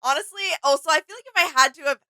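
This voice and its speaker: A woman co-hosting a reaction video, eager and quick to laugh. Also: high voice, expressive, sharp tone, no room sound, studio quality. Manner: giggly, eager. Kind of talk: casual reaction chat.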